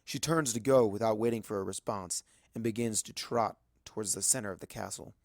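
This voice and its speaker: A man's voice: lowish voice, fairly quick, no room sound, clean studio sound. A man reading a first-person story aloud as dramatic scripted narration. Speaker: audiobook narrator of fan fiction.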